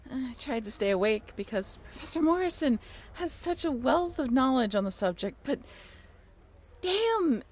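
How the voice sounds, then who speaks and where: A woman's voice: sits high, very animated, normal speed, darkish tone. A young woman playing a friendly, teasing sailing-ship crew member in an amateur audio roleplay, chatty and informal with a joking streak. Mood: fond, casual. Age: young adult.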